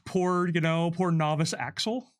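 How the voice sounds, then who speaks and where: A man working as a game developer, talking casually on a livestream panel and answering questions in an explanatory, halting way as he thinks aloud. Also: fairly high pitch, steady tone.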